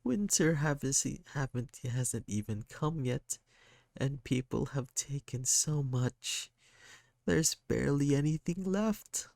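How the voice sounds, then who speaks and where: A man's voice: mid-range voice, expressive, slow, vocal fry, darkish tone, dry recording, pitched above the performer's own voice. A man voicing a sad, short-tempered child, speaking in a raised, strained, tearful voice. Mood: upset.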